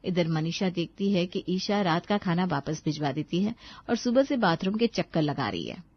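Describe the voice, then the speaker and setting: A woman's voice: on the low side, lively intonation, moderate pace, no echo, highs cut off. A woman narrator reading a scripted family story.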